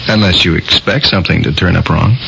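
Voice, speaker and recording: A man's voice: sits low, a little fast, vocal fry. A man playing a caring, wry surgeon in a radio drama, middle-aged, with an American accent and easygoing, folksy phrasing. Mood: casual, evasive. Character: caring, wry male surgeon and protective older brother. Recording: muffled, noisy old radio broadcast.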